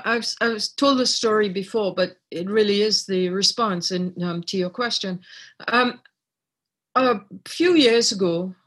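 Husky-voiced woman, thoughtful and halting. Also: medium pitch, a bit bright. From Trinidad. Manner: thoughtful, many fillers and restarts. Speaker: writer and artist, interview guest. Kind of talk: reflective interview conversation.

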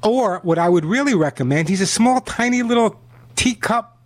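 A man hosting a call-in radio pet show, from New York, talking fast and repeating himself as he pitches products and gives advice. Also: animated. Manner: rapid, repetitive sales pitch and chatty advice.

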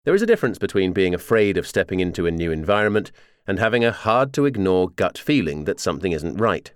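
A man reads a first-person memoir as a scripted audiobook narration, in a measured, clearly articulated way. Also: sits low, big pitch swings, dry recording, studio quality.

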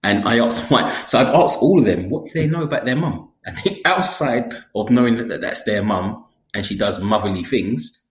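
A man's voice: on the low side, lively intonation, moderate pace, few pauses. A man in his forties co-hosting a podcast, speaking in a reflective, casual way and laughing often. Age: 40s. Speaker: podcast co-host. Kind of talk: casual conversation. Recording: roomy echo.

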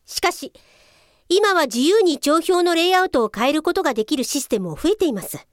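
A woman playing a polite but sarcastic young female office-software investigator in a comic audio drama, explaining things crisply. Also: sits high, lively intonation, a little fast, dry recording, clean studio sound. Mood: matter-of-fact.

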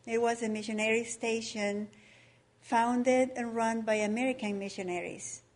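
A woman's voice: on the high side, steady tone, normal speed. A middle-aged woman from Ecuador giving a personal testimony at church, careful and hesitant. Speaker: missionary's wife giving testimony.

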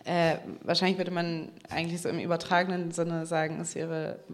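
A woman with a slightly low voice talks in a relaxed, conversational way. She hesitates often, filling pauses and restarting her sentences as she works through her thoughts. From Germany. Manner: hesitant, many fillers and restarts. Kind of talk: casual conversation.

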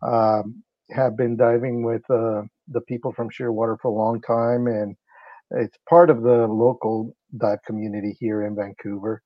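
A man's voice: medium pitch, little pitch movement, moderate pace, dry recording, muffled recording. A man from Honduras, a company's sales and marketing director, explaining things at length in a casual interview with many fillers.

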